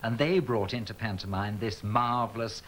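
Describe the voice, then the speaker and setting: A man's voice: lowish voice, moderate pace, very noisy. A male pantomime performer talking enthusiastically and thoughtfully about the tradition in an interview.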